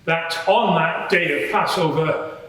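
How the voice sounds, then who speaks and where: A man playing a matter-of-fact Roman soldier giving measured, explanatory testimony at a dramatised courtroom inquiry. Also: on the high side, bright, forceful tone. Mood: earnest, disapproving. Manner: measured, explanatory, slightly hesitant. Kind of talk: dramatised courtroom testimony before a live audience. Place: large courtroom in a public building. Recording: very echoey large hall.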